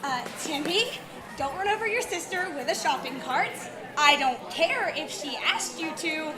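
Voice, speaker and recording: A woman's voice: high-pitched, expressive, moderate pace, reverberant, over music, a crowd in the background. An actress playing a flustered young mother of three in a comic radio drama. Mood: patient. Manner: flustered, exclamatory, bright.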